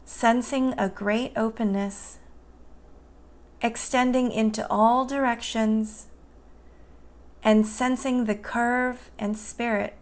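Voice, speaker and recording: A woman guiding a meditation in a soothing, gentle and unhurried manner. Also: fairly high pitch. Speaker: meditation guide. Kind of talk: guided meditation narration.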